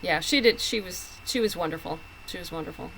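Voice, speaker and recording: A woman's voice: fairly low pitch, a little fast, creaky, fairly bright tone, a little hiss or hum, rough recording. A woman speaking as a guest writer in a casual podcast interview, often restarting and repeating words as she goes. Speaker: guest comic book writer.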